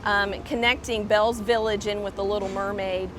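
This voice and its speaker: A woman's voice: mid-range voice, flat delivery, normal speed, background noise. A woman on an outdoor construction site, explaining the work to the camera in an informative way, with frequent ums. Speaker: construction project spokesperson.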